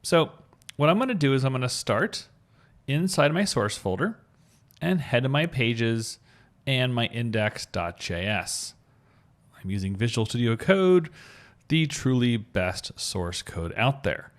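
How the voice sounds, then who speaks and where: A man's voice: mid-range voice, very animated, measured pace. A man giving an upbeat, informal screencast coding tutorial, explaining things step by step. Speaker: web developer giving a tutorial.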